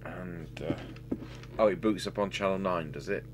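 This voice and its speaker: A middle-aged man giving a casual, halting repair demonstration, thinking aloud as a technician at work. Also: sits low. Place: indoor workbench. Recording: steady hum and background noise.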